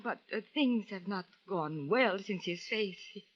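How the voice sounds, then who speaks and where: A woman's voice: mid-range voice, expressive, unhurried. A woman playing an anxious, devoted wife in an old radio drama, pleading in careful, formal sentences. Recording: dull old radio recording.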